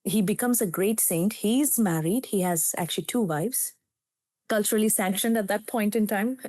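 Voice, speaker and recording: A woman's voice: mid-range voice, normal speed, darkish tone, dry recording. A woman in her fifties from India, a native Telugu speaker with an Indian English accent, telling her story as a psychiatrist guest in an interview in an earnest, reflective way.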